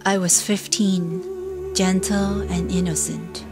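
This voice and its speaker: A woman giving a slow, mournful, declamatory poetry recital. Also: medium pitch, fairly even intonation, music in the background. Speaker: reciter of a poem.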